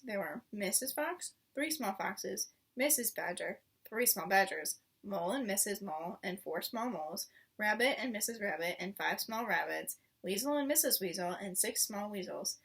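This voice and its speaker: A woman reading a children's story aloud in an expressive, dramatic way. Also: medium pitch, moderate pace, vocal fry, hardly any pauses, slightly rough, far from the mic. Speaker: storybook reader.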